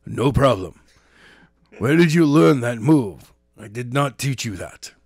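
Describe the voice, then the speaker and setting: A man voicing a calm, stern male martial arts teacher with a measured, matter-of-fact way of speaking, in a storybook reading. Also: medium pitch, slightly rough, no room sound, clean studio sound. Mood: calm, instructive.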